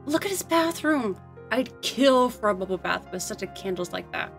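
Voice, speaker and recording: A woman's voice: fairly high pitch, animated, normal speed, creaky, soft background music. A woman co-hosting a scripted commentary on a cartoon, in conversational banter. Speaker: co-host.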